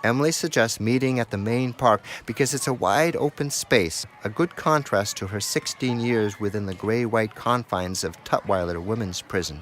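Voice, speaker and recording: A man's voice: fairly low pitch, moderate pace, hardly any pauses, a bit bright, a little hiss or hum. A man narrating a radio documentary from a script.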